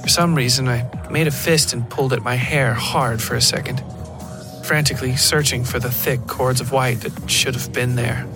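A man's voice: mid-range voice, steady tone, normal speed, over music. A man reading a scripted first-person horror story as a podcast narrator.

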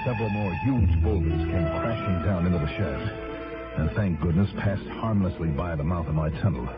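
A man's voice: sits low, normal speed, loud music behind the voice, natural voice. An actor playing a bold private investigator who tells his own adventure in 1960s radio drama style. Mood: tense, wry storytelling. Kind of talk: 1960s radio drama acting, first-person narration. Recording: dull lo-fi old radio recording.

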